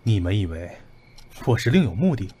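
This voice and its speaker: A man's voice: on the low side, very animated, a little fast, dark tone, breathy edge. An actor playing a guarded, self-assured man with secrets in a Chinese audio drama. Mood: amused, challenging. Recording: faint background noise.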